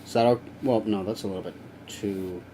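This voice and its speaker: A man's voice: lowish voice, brisk, warm tone, slight background noise, poor audio. A young man chatting casually with viewers on a live stream while he works, rambling and swearing now and then.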